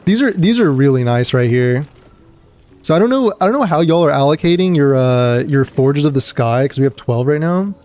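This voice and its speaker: A man streaming a game, his voice a little gravelly and dry today, rambling casually and greeting viewers between trailing fillers. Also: mid-range voice, darkish tone. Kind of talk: casual live-stream chat.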